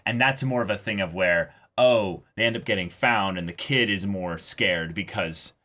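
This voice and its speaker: A man with a low voice discusses a show casually as a co-host. He thinks aloud in a halting, considered way, piecing each point together as he speaks. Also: bright, forceful tone. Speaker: co-host of an anime reaction show.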